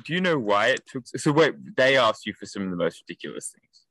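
A man's voice: mid-range voice, sing-song, quick pace, a bit bright. A young man, hosting a casual chat in a rambling, self-interrupting, jokey way. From Australia. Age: young adult.

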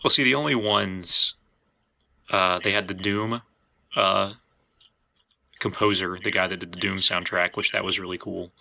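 A man with a low, gravelly voice that still cuts through very bright and forceful. He hosts a casual podcast discussion, phrasing his points in a measured, hesitant way. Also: fairly even intonation. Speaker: podcast host.

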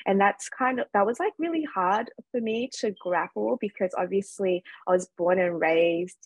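A woman's voice: mid-range voice, moderate pace, husky, dry recording. An Australian woman from Sydney, a podcast guest telling her own story in a candid, reflective way. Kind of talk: casual interview conversation.

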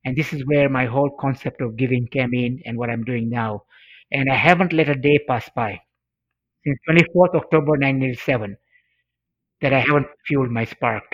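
A man's voice: mid-range voice, brisk. A middle-aged man from Tanzania, a native Swahili speaker, telling a personal story in an interview in an earnest, emphatic way, repeating phrases for effect. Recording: dull, lo-fi sound.

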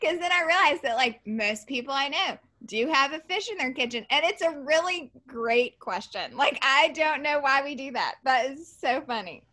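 Bright, high-pitched chatty woman. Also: expressive, normal speed, hardly any pauses. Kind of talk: casual podcast conversation.

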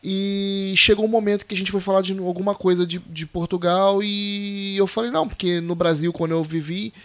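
Man, high-pitched, relaxed, halting, casual chat. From Serbia. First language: Serbian. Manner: relaxed, hesitant storyteller with restarts. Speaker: co-host.

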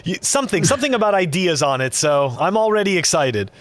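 A man's voice: sits high, very animated, quick pace. A man co-hosting a podcast, asking a question in a rambling way with many stumbling repeats. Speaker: podcast co-host. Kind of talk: casual podcast chat.

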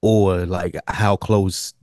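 A man with a lowish voice and a dry, close sound banters as a podcast co-host. He speaks casually, in slang, and swears now and then. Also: level tone, extremely slow, darkish tone, no echo. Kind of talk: casual TV recap chat.

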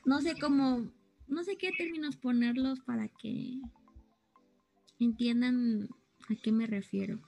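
A woman's voice: on the high side, unhurried, gravelly, mellow, soft background music. A young woman chatting casually, explaining things and often searching for the right words. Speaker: co-host explaining anime terms. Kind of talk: casual chat.

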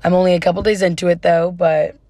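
A woman's voice: fairly low pitch, steady tone, fast, dark tone, no room sound. Young woman podcasting, dry close sound. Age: young adult. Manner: informal, giggly, uses y'all. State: slightly losing her voice. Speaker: podcast host. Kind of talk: casual solo podcast chat.

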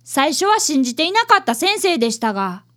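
An eager schoolboy detective voiced by a woman, very high and lively in pitch. He narrates a radio drama earnestly and speaks like a dutiful young apprentice. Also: brisk. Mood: earnest narrating.

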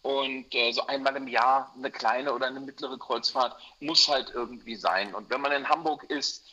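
Chatty man from Berlin, bright voice. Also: medium pitch, normal speed. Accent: Berlin German. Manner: chatty, with frequent fillers and restarts. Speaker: mortgage broker guest. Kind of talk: relaxed interview chat. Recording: muffled, lo-fi sound.

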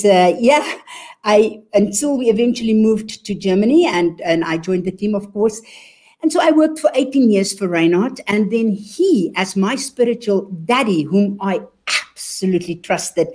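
A woman's voice: mid-range voice, a little mellow. A woman with a South African accent, an evangelist sharing her story earnestly in an interview. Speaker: evangelist guest. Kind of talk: interview testimony.

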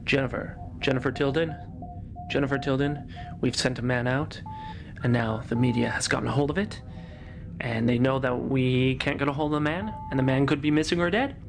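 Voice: medium pitch, fairly quick, noisy background, pitched below the performer's own voice, lightly acted. A performer voicing a melodramatic, self-important space captain in an improvised radio parody, overwrought and repetitive. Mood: grave, urgent.

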